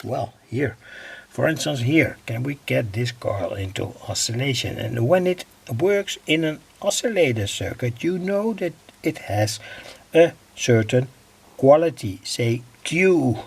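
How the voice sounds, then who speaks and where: Slow, gravelly man explaining radio coils. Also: medium pitch, animated. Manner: slow and halting, many "uh" pauses. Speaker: radio hobbyist presenting his own channel. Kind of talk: unscripted hands-on demonstration.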